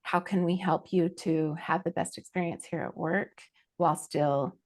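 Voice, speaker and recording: A woman's voice: lowish voice, fairly even intonation, moderate pace, a little mellow. A woman giving conversational advice as a panel guest and coach, in an earnest, reflective way.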